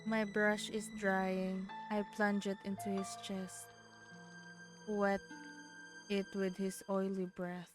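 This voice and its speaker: Woman, Filipino accent, game streamer, unhurried read-aloud pace, music clearly behind. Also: medium pitch, level tone. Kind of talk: livestream game playthrough, reading text aloud.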